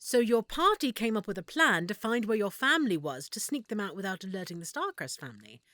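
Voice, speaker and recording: A woman playing an affectionate, excitable half-elf shopkeeper in a roleplay audio drama, speaking expressively and warmly to the listener. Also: medium pitch, fairly quick, no echo. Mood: intrigued, attentive. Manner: expressive, warm, reacting with feeling.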